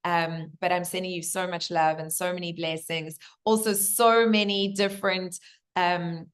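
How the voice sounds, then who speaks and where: A woman whose voice has gone hoarse gives spiritual guidance straight to her viewers. She is earnest and reassuring, with a gentle, encouraging manner. She keeps talking to them as guys and fills her sentences with likes and ums. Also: lowish voice, fairly even intonation, measured pace. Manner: addresses viewers as guys, many fillers. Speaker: spiritual coach.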